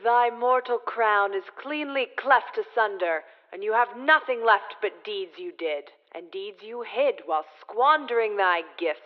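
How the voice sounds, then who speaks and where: An actress playing a stern regal judge, imperious and formal, delivering archaic verse in a radio drama. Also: female voice, on the high side, a little slow, few pauses, big-room sound, phone-quality sound, close to an everyday voice. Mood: stern, accusing. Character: stern regal judge queen presiding over trial.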